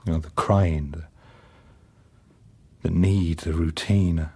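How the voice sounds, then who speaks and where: An actor playing a guilt-ridden, plain-spoken father in his thirties, husky and halting, in a naturalistic radio drama. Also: deep voice, fairly even intonation, a little mellow, exaggerated voice. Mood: guilty, tender. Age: 30s. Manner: halting, confessional.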